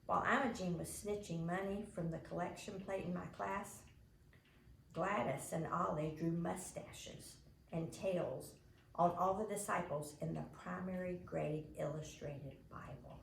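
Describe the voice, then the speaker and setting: A woman reading a children's novel aloud in an expressive, animated way, dramatizing as she narrates. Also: fairly low pitch, measured pace, creaky. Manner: animated, dramatizing the characters' lines. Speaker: storybook reader. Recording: echoey room with faint background noise.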